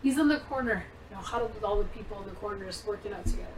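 A young woman comedian telling stories in a casual chat, animated and prone to tangents, acting out what people said. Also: mid-range voice, racing, distant mic. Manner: animated, acts out quotes, goes off on tangents. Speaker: comedian and actress guest. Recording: roomy echo, off-mic, faint noise.

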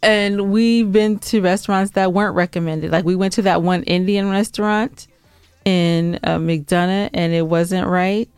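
A woman's voice: fairly low pitch, steady tone, unhurried, darkish tone, clear, resonant tone, no room sound, faint music behind. An American woman co-hosting a podcast, chatting casually in an emphatic, argumentative way and dramatizing her complaints. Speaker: podcast co-host. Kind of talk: casual, bantering podcast chat.

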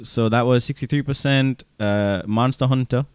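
Casual male podcast host, clear voice. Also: medium pitch, fairly even intonation, moderate pace, no room sound, clean studio sound. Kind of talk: casual movie podcast chat.

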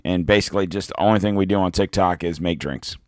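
Hoarse, low-voiced podcast host. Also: male voice, quick pace, darkish tone, no echo, studio quality. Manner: rambling, self-deprecating, reads sponsor ads. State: hoarse from throat trouble. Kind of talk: casual podcast monologue. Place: home podcast studio.

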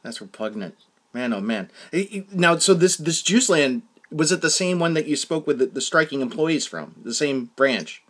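Man, interviewer, casual chat, earnest, halting restarts, slightly high voice. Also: expressive, a bit bright.

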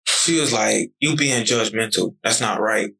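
A man's voice: on the low side, a little slow, creaky, sharp tone, low-quality recording. A young man in his twenties talking casually and animatedly on a podcast, swearing freely as he tells stories. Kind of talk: casual conversation.

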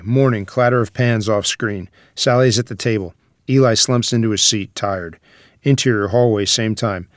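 A man's voice: lowish voice, dry recording, studio quality. A man reading stage directions as scripted screenplay narration.

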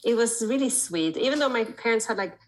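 A woman from Sweden, chatting as a guest on a podcast in a relaxed, rambling way. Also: on the high side, brisk. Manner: relaxed, chatty, frequent fillers and laughs. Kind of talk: casual chat.

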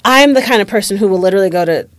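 A woman's voice: mid-range voice, lively intonation, racing, no echo. A woman in her late twenties talking candidly and reflectively in a casual conversation, peppering her speech with "like". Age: late 20s. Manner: reflective, candid, heavy use of "like".

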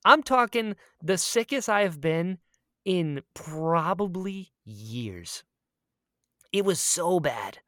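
Expressive woman telling stories, close dry sound. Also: on the low side, takes it slowly, no echo. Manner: animated, expressive, quotes herself dramatically. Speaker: podcast co-host. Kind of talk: casual chatty storytelling.

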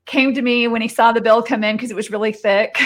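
A woman's voice: fairly high pitch, fairly even intonation, a little fast. A middle-aged woman chatting casually as an interview guest, telling personal stories. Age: 40s or 50s.

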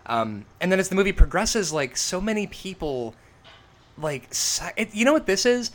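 Man with a smoke-roughened voice. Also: high voice, normal speed. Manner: animated, swearing, acts out quotes. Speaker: podcast co-host. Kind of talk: casual film podcast chat.

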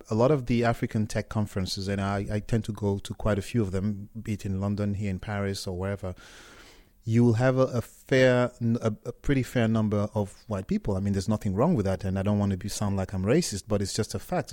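Man from Cameroon, founder in a sit-down interview, low voice, dry close sound, frank and halting. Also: darkish tone, no room sound. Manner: candid, hesitant restarts, reflective. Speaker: startup founder. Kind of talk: interview answers.

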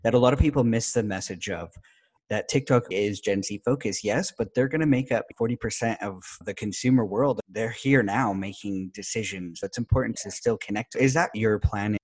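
A man's voice: lowish voice, darkish tone, breathy edge. A man in his forties hosting a casual interview, upbeat and enthusiastic as he talks. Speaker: interview host.

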